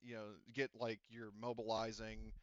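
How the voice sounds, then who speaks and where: A man acts as game master of a tabletop game, narrating in a loose, rambling way full of fillers and restarts. His voice is smooth and resonant, recorded dry with no room sound. The audio is slightly dull and lo-fi. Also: lowish voice, completely flat delivery, moderate pace, dry recording.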